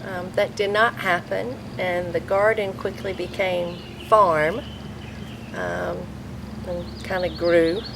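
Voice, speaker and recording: An older woman, a vegetable farmer and grandmother, speaking softly and reflectively in a documentary interview. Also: on the low side, vocal fry, noisy background. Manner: soft-spoken, reflective, with frequent fillers. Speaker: vegetable farmer, former hospice chaplain.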